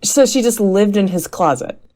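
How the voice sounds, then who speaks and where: A woman's voice: medium pitch, lively intonation, normal speed, mellow, lightly acted. A woman playing a skeptical, dryly exasperated podcaster, telling tales in casual, matter-of-fact banter. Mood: startled, exasperated. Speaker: podcast co-host. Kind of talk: casual scripted storytelling banter.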